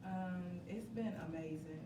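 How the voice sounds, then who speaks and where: A woman's voice: lowish voice, a little slow. A woman speaking as a guest in a live group interview, earnest and heartfelt, repeating points for emphasis. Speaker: play's creator as interview guest. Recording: echoey room, distant mic, noisy.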